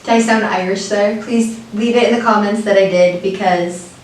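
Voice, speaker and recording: A woman's voice: mid-range voice, fairly even intonation, normal speed. A young American woman chatting casually as a co-host, telling stories playfully, laughing and acting out what people said. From United States. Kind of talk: casual chat. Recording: echoey room, voice a bit far from the mic, faint hiss.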